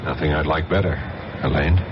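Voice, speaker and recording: An actor playing an easygoing but firm American narcotics agent in a radio drama, with an American accent. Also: male voice, very deep voice, steady tone, a little fast. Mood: friendly. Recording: dull, noisy old radio broadcast.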